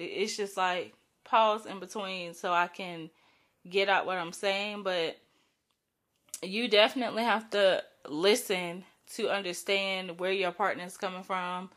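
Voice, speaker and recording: Bright-voiced woman with a cold. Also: lowish voice. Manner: chatty, frank, uses slang and light swearing. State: sick with a cold, voice strained. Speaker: podcast host. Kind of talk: casual solo podcast monologue.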